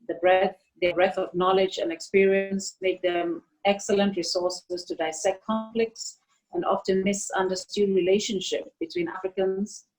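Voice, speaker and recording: Female webinar moderator, mid-pitched voice, formal and structured delivery. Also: a little mellow, rough recording.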